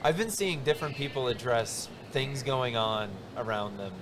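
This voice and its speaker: A man's voice: medium pitch, lively intonation, moderate pace. A man hosting a comedy podcast, chatting casually and joking with guests outside a pub. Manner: laid-back, jokey, asks questions. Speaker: podcast host. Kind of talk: casual chat. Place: outdoors near a pub in a city. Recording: noisy outdoor recording.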